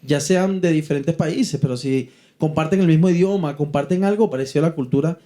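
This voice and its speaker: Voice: medium pitch, fairly quick, dark tone. A podcast co-host from Venezuela, explaining in a casual way and often checking that they are understood. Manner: keeps asking "¿sí me explico?".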